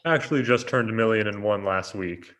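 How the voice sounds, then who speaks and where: A man plays a charming, condescending middle-aged politician in a comedy read. His voice is lowish and forceful, with a folksy, smug manner. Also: brisk, a little hiss or hum, natural voice. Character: smug, folksy charming fifty-year-old male rival politician. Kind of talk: comedy script table read.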